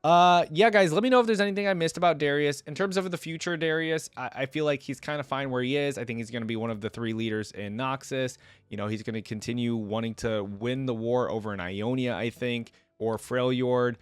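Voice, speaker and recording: A man's voice: mid-range voice, brisk, clean tone, no echo. A man giving casual reaction commentary as a video host.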